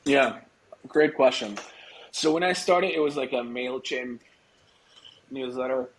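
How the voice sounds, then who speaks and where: Male interview guest from Argentina, native Spanish speaker, mid-pitched voice, relaxed phrasing with hesitant word searching. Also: unhurried, slight background noise, low-quality recording. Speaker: podcast guest, startup growth executive. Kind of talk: casual interview conversation.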